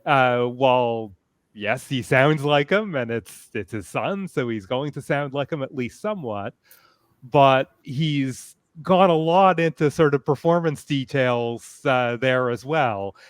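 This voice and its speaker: Guy on a panel talking things through in detail, smooth clear voice, dry close sound. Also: medium pitch, expressive, a little slow, no echo. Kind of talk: casual panel discussion of audio drama.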